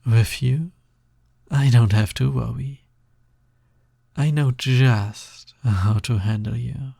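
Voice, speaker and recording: A man playing a sly, smug, possessive naga roommate in an intimate audio roleplay, with a breathy, gravelly voice and a teasing drawl that often drops toward a whisper. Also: medium pitch, fairly even intonation, slow, mellow, no echo, clean studio sound. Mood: smug, flirtatious. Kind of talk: intimate scripted audio roleplay, close ASMR-like delivery.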